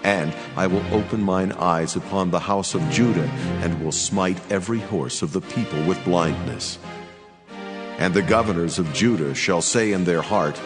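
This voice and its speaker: A very low-pitched man proclaims scripture in a solemn, measured way. His voice rings with long reverb, and music plays behind it. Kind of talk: dramatic scripture narration.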